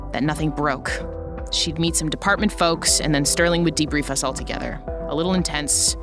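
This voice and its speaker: A woman's voice: sits low, close to an everyday voice. A woman playing a blunt, sweary, determined government invigilator in an urgent crisis, in an audio drama. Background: music.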